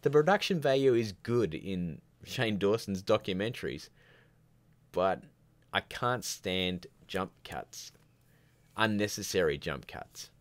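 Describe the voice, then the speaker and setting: A man with a deep voice hosts a casual live Q&A. He rambles from one answer to the next, candid and opinionated, slipping in jokey asides. The sound is dry, with very little room around the voice. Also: animated, slow pace, no room sound. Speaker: livestream host of a filmmaking show.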